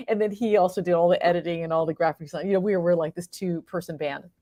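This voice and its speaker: Female interview guest, slightly low voice, chatty and appreciative delivery, no room sound. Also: fairly even intonation, quick pace, warm tone, dry recording. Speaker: playwright and performer, interview guest. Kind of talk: casual conversational interview answers.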